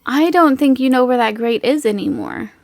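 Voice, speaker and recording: Female game master, fairly high-pitched, conversational and off the cuff, recorded dry. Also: normal speed, no room sound. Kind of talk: casual improvised game-table chat.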